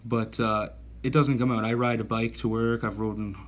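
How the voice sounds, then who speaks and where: A man's voice: medium pitch, flat delivery, moderate pace. A man in his thirties giving a casual gear review, talking in a rambling, self-correcting and enthusiastic way. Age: 30s. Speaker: knife reviewer.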